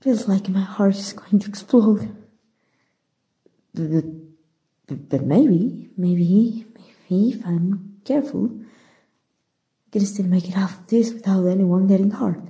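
A woman acting in an ASMR roleplay as a flustered, soft-hearted young female hero, stammering hesitantly in a soft, husky voice with a Mexican accent. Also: mid-range voice, takes it slowly, long pauses, full character voice, rough recording. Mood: anxious, inward. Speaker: voice actor.

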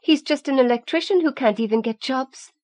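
A woman's voice: high-pitched, brisk, darkish tone, dry recording, voice pushed up, natural voice. A woman voicing a spiteful schoolgirl bully who hisses and taunts in an audiobook story. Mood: sneering, spiteful. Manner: hissing, taunting.

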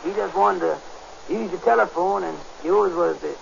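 A man's voice: high voice, normal speed, creaky. An actor playing a polite, anxious working man in 1930s radio comedy, with folksy, ungrammatical, deferential talk. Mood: polite, hesitant. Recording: muffled, hissy 1930s radio recording.

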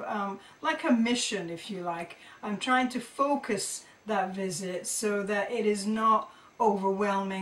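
A middle-aged woman teaching informally to camera, thinking aloud and often rephrasing as she explains. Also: mid-range voice. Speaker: art teacher presenting tips to students. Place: indoor room with carved wooden panelling. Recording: roomy echo, distant microphone.

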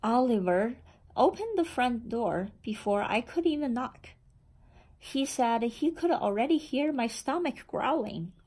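A woman reading a children's picture book aloud in a deliberate, expressive storytelling manner. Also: a little high. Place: indoor room with framed certificates on the wall.

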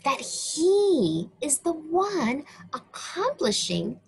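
A woman reading a Bible story aloud to children, talking to them directly in a slow, sing-song way. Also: high-pitched, big pitch swings, vocal fry. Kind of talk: read-aloud story lesson for kids.

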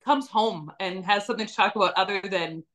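A woman's voice: medium pitch, brisk, a bit bright, poor audio. Easygoing young woman from British Columbia. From British Columbia, Canada. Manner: easygoing, laughs often. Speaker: dairy farmer guest. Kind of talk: casual video-call interview. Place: home room. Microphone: video call.

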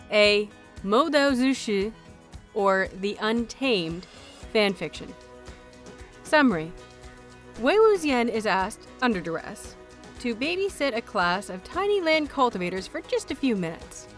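A woman hosting and narrating audio fiction in an expressive audiobook style. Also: a little high. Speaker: host and narrator of an audio fanfiction show.

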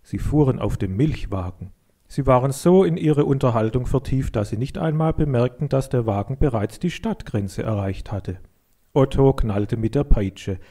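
A man narrates a story for children in a scripted audiobook style. His tone is mellow and relaxed, at a middling pitch, with expressive delivery. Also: normal speed.

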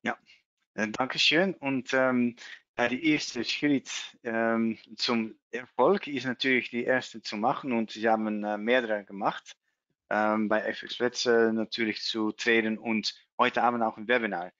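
A man with a Dutch accent, presenting a trading webinar in German as a non-native speaker, halting and searching for words with many fillers, over a video call. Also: medium pitch, steady tone, fairly bright tone, dry recording. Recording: dull lo-fi video call audio.

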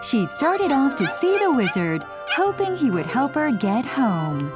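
A woman's voice: high-pitched, big pitch swings, a little slow. A woman storyteller reading scripted narration for a children's story. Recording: music behind the voice.

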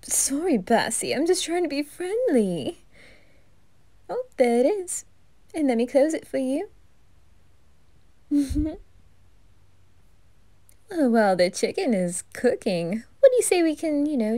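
Woman playing a campy flirt of a male reaper, slow, giggly, warm-toned. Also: high voice, sing-song, dry recording, full character voice. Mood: flirty. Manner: teasing, giggling, breathy whispers. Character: flamboyant flirtatious male reaper posing as butler. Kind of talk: ASMR roleplay.

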